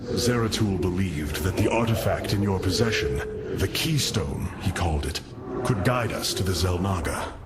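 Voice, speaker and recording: An actor playing a noble alien hierarch in a video game cutscene, speaking formally and gravely. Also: male voice, sits low, expressive, normal speed, heavy background noise. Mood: solemn. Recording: loud cinematic music behind.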